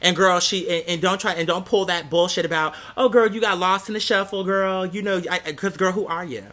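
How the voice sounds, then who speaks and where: Bright-voiced American man, sassy and theatrical. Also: high voice, fairly quick. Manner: sassy, theatrical, slang-heavy. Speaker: vlogger. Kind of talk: casual storytelling rant to viewers.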